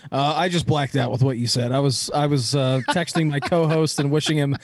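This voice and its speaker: A man co-hosting a casual sports podcast from home, laid-back and quick to laugh at himself. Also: a little high, steady tone, slight background noise. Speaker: podcast co-host.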